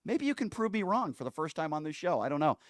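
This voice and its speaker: A man's voice: on the high side, sing-song, fast, dry recording, studio quality. A man hosting a political call-in radio show, scolding and sarcastic as he argues. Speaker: radio talk show host.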